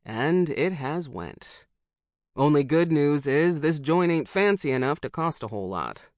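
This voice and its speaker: A narrator voicing a joking American fighter pilot who talks in a lower, slower drawl. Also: lively intonation, darkish tone, no room sound, studio quality, lightly acted. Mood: wry. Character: wisecracking American male pilot, easygoing. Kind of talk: audiobook character voice.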